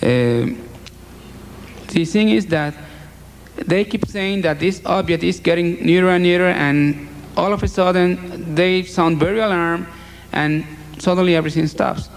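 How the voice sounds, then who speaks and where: Gravelly Puerto Rican man over background noise. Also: high voice, a little slow. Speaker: UFO researcher recounting a case. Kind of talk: spoken account with quoted messages. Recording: noisy recording with ambient sound.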